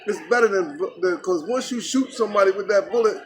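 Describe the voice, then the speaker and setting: A man's voice: sits high, fast, a little hiss or hum. A man in his sixties, a Marine veteran guest, speaking earnestly and giving advice in a casual interview outdoors. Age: 60s. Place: outdoors in a city.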